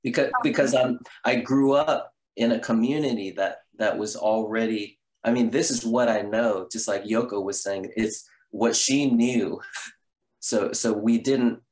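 A man in his fifties from the Bay Area, a Zen priest speaking on a video call in a halting way, with many restarts. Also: medium pitch, darkish tone, low-quality recording. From California, Bay Area. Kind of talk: reflective conversation. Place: room at home.

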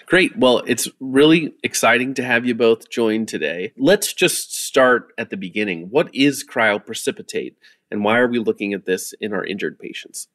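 A man's voice: mid-range voice, animated, moderate pace, a bit bright. A man hosting a medical podcast, interviewing guests in a conversational way. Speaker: podcast host, medical journal editor.

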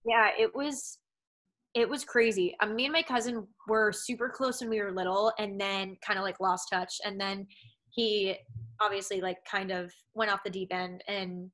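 A young woman from Massachusetts speaks with a Boston accent. Her voice is bright and forward. She rambles in easy chat from home, with a steady stream of "like" and "um". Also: medium pitch, normal speed. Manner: rambling, heavy use of "like" and "um". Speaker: actress guest. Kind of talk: casual interview chat.

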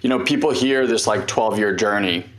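A man with a fairly low voice co-hosting a podcast. He frames his questions to the guest slowly, searching for his words as he goes. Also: fairly bright tone. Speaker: podcast co-host. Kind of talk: casual interview questions.

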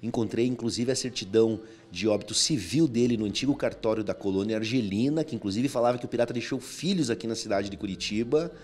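A man's voice: fairly low pitch, fast talker, few pauses, a little mellow. A man, a researcher explaining history in a TV interview. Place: small indoor restaurant room. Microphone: handheld microphone.

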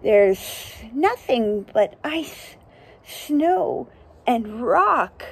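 A woman voicing flustered small animals in a picture book, chilly travelers who exclaim in drawn-out words. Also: on the high side, very animated, vocal fry, breathy edge, heavily acted. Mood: shivering.

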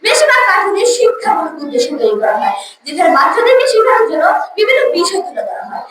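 A woman's voice: very high-pitched, very animated, fast talker. A young schoolgirl giving a formal, declamatory, rehearsed debate speech. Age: child or teenage school student. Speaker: school debate first speaker. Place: school debate event, room. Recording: echoey room, distant mic, noisy background.

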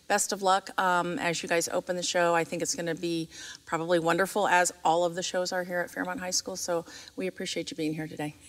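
A middle-aged American woman conducting a conversational interview, asking wordy questions in a halting way. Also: fairly low pitch, little pitch movement. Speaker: interviewer, school district staff member. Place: large theatre stage, indoors.

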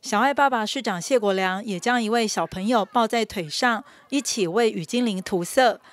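A woman's voice: mid-range voice, normal speed. A woman with a Taiwanese Mandarin accent narrating a scripted news report as a reporter.

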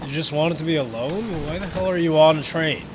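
A man's voice: on the high side, fast talker, noisy background. A tired young man hosting a casual let's-play, profane and laughing, talking back at the game late at night. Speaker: gaming channel host. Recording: game music loud behind voice.

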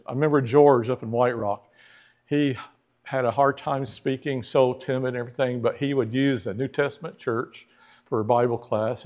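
A man's voice: mid-range voice, a little mellow, dull audio. A middle-aged man teaching a church history class in a church classroom, talking conversationally, putting questions to the class and acting out the people he quotes.

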